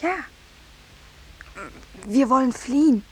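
High-voiced, coquettish young lady. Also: slow, husky, heavily acted, poor audio. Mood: coy, eager. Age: young adult. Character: coquettish, commanding young lady eager to elope. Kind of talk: 1950s German radio drama acting. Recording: vintage 1950s radio recording.

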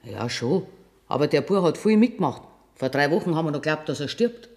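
A protective, talkative Bavarian nurse in a radio drama. Her voice sits low and moves in lively swings. She speaks plainly and deferentially. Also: fairly quick. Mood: protective, sombre. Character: chatty, protective female hospital nursing sister. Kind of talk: German radio drama acting.

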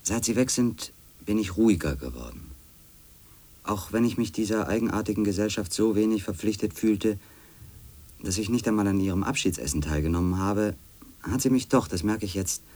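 An actor playing a brooding, insistent former magazine editor in a measured, introspective German radio play style. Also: male voice, on the low side, animated, darkish tone. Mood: brooding, observant. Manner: measured, literary, introspective narration.

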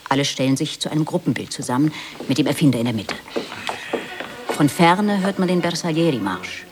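A man's voice: a little high, expressive, brisk, noisy background, lightly acted. A man playing a matter-of-fact narrator who reads stage directions in a radio play.

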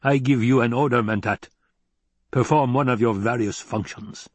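Man acting a slow, menacing villain baron. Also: mid-range voice, breathy edge, no room sound, natural voice. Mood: stern, growling. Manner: slow, drawling, growling command. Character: domineering, menacing, indulgent older villain baron. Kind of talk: audiobook character acting.

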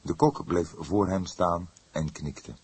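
A man reading a detective novel as an audiobook narrator, in measured, dramatic storytelling. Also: low-pitched, darkish tone, breathy edge, no room sound.

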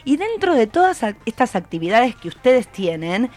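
A woman's voice: a little high, sing-song, normal speed, darkish tone, soft background music. An Argentine woman hosting a casual live radio interview, encouraging her guests and prompting them with questions. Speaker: radio show host interviewing students. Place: radio studio. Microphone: studio microphone.

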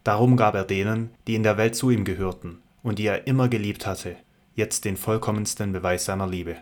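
A man narrating a scripted radio drama in a measured, even way. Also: lowish voice.

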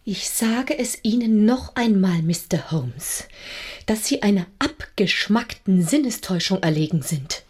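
A desperate, breathy aristocratic lady. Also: mid-range voice, animated, unhurried, darkish tone. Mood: defiant, shaken. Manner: formal, breathy, anguished pleading. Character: desperate aristocratic lady, wife pleading for her secret. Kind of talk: German radio drama acting.

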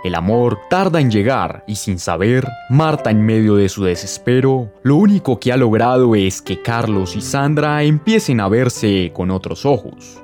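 A man's voice: fairly low pitch, darkish tone. A man playing the dramatic narrator of a radio soap opera.

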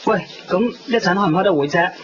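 Actor as high-pitched Cantonese office colleague. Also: male voice, a little fast, background noise, natural voice. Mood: insistent. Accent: Hong Kong Cantonese. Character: eager, insistent male office colleague. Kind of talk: 1990s Hong Kong radio drama acting. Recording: dull lo-fi radio recording.

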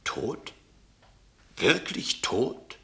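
Slow, sceptical tavern outsider. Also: male voice, bright, forceful tone. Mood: incredulous. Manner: questioning, wry, deliberate. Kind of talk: German radio play acting.

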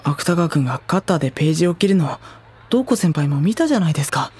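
An actor playing a guarded, skeptical high school boy in a Japanese audio drama, polite in wording but blunt. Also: lively intonation, quick pace, a little mellow, slight background noise, close to an everyday voice. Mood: irritated, doubting. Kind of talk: Japanese audio drama dialogue and inner narration.

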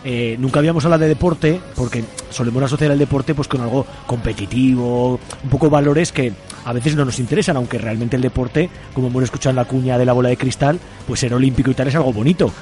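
A man's voice: medium pitch, quick pace, warm tone, faint music behind. A man with a Spanish accent from Spain hosting a casual radio interview in an informal, chatty way. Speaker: radio show host interviewing guests.

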